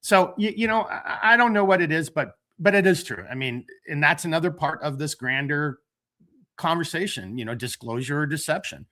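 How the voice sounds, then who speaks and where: A man with a bright, forceful, slightly high voice hosts a loose late-night talk. He rambles, restarts his sentences, and gets animated, breaking off to crack dad jokes. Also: moderate pace. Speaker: podcast host. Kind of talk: casual late-night chat.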